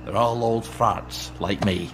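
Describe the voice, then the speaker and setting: An actor playing an eccentric, shifty older British man in a video game, gruff and colloquial as he swings between cajoling and muttering. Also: lowish voice, steady tone, slow pace, music in the background. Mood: wry, scheming. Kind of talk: video game cutscene acting.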